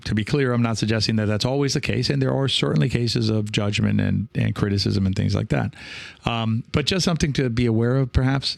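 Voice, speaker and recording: Male coach-podcaster, solo explanatory talk, measured delivery, noticeable vocal fry, very dry close sound. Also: medium pitch, no room sound. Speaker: podcast host and pickleball coach.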